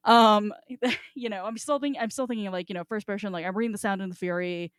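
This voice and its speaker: A woman's voice: medium pitch, fairly even intonation, a little fast, smooth, dry recording, studio quality. A woman hosting a casual book discussion, talking in a rambling, informal way and swearing freely. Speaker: podcast host.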